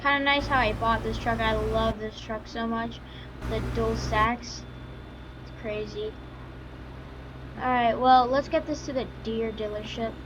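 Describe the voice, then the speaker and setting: A woman doing casual, halting gameplay roleplay commentary for videos, in an informal, unscripted way. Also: on the high side, fairly even intonation. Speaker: gaming YouTuber. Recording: dull lo-fi mic, faint background noise. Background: vehicle engine sounds from the game.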